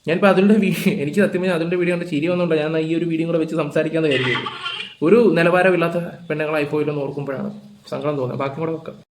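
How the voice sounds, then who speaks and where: A man rants in a lecturing, indignant way. He speaks extremely fast in a slightly high voice. The room adds clear echo. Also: steady tone, slight background noise. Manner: indignant, lecturing with rhetorical questions. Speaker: reaction video commentator. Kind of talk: informal ranting commentary. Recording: echoey room.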